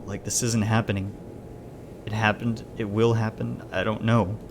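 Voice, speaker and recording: A man voicing a numb, weary male furry father who speaks flatly and slowly. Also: lowish voice, noisy background, lightly acted.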